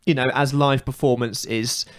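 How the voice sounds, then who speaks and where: A man's voice: mid-range voice, fairly even intonation, normal speed, clean tone, no room sound. A British man co-hosting a comedy podcast, chatting casually with colloquial, jokey complaints and opinions.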